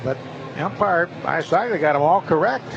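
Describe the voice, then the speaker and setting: A man's voice: fairly high pitch, lively intonation, normal speed, dull audio, over music. A man doing live play-by-play commentary as a baseball announcer. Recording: clear outdoor ballpark noise. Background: outdoor stadium ambience.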